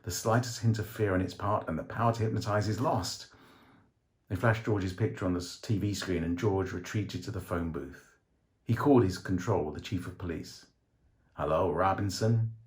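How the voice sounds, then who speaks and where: A man's voice: low voice, normal speed. A man reading a short story aloud as a dramatic scripted narration.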